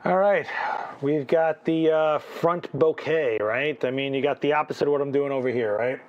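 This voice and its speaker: A man's voice: on the high side, normal speed, dull audio. A man hosting a live photo critique, chatting casually, thinking aloud with restarts and asking "right?", his voice a bit worn. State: losing his voice. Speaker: photography livestream host.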